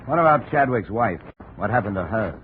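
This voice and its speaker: An actor playing a hard-boiled American insurance investigator in 1950s radio style, clipped, dry and matter-of-fact. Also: male voice, lowish voice, expressive, a little fast, a little hiss or hum, natural voice. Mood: brisk, questioning. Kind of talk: 1950s radio detective acting and narration. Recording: tinny, narrow-band vintage radio recording.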